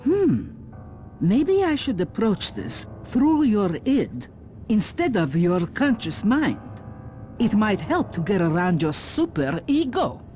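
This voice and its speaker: A woman's voice: medium pitch, wildly swooping pitch, takes it slowly, darkish tone. A woman voicing an imperious, scheming spider villain with theatrical menace in a cartoon video game. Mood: silky coaxing. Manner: theatrical, coaxing and commanding. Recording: music behind the voice.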